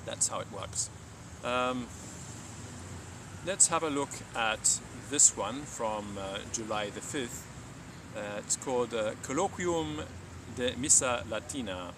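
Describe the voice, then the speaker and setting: A man with a medium-pitched voice teaches and reads aloud in a measured, slightly hesitant way. He sounds some distance from the microphone. Clear urban vehicle noise runs behind him. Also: animated. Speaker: Latin teacher and reader of his own lesson texts. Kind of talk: explanatory live talk and reading aloud.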